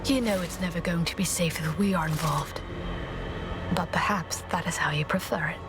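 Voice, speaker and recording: A woman's voice: fairly low pitch, moderate pace, over music, close to an everyday voice. A woman playing a stoic, determined warrior elder sister in a dramatic fantasy video game, speaking in a grave, cool manner. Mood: wary, wry.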